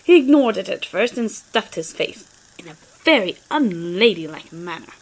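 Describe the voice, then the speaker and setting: A woman narrating a humorous fan story as an expressive, theatrical dramatic reading. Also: mid-range voice, normal speed, poor audio.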